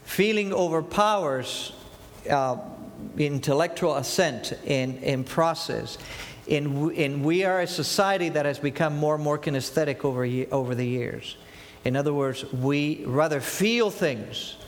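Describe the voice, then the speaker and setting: A man's voice: a little high, measured pace. Argentine-accented man preaching in a reverberant hall. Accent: Argentine Spanish. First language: Spanish. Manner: earnest, asks rhetorical questions and answers them. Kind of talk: sermon.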